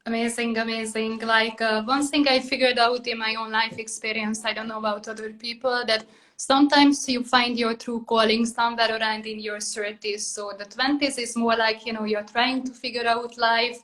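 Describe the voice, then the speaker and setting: A woman's voice: on the high side, level tone, normal speed, a bit bright. A woman in her thirties from Hungary with a Hungarian accent, hosting a casual interview and filling her talk with "like" and "basically". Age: 30s. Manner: many "like" and "basically" fillers, loose phrasing. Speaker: podcast host.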